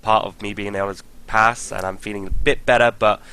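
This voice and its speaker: A man from the UK, a podcast guest, chatting casually and rambling with enthusiasm in a voice slightly croaky from illness. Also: on the low side, fairly even intonation, brisk, bright, forceful tone, slight background noise, poor audio. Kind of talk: casual chat.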